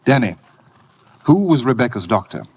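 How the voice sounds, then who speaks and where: Smug, oily radio-drama blackmailer. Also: male voice, medium pitch, big pitch swings, moderate pace, darkish tone, slightly rough. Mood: smug, accusing. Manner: suave, oily, mock-polite. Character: smug, insinuating male blackmailer feigning friendliness. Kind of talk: 1950s radio drama acting. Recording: vintage 1950s radio broadcast recording.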